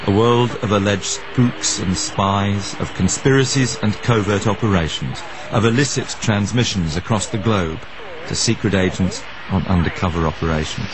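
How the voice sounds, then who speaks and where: A man's voice: fairly low pitch, normal speed, background noise. A man presenting a radio documentary, narrating and asking questions in a dramatic storytelling manner.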